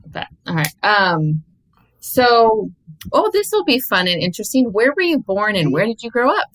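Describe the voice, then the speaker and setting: A woman's voice: mid-range voice, very animated, moderate pace, fairly bright tone, a little hiss or hum, rough recording. A woman co-hosting a casual interview, listening closely and asking follow-up questions. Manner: attentive, backchannels often.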